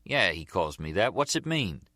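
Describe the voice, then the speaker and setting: A man's voice: low-pitched, very animated, normal speed, pushed and bright, no room sound, studio quality, heavily acted. A man voicing an eager, resentful young mob underling in an audiobook, whiny and insistent, with street-tough grammar. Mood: puzzled.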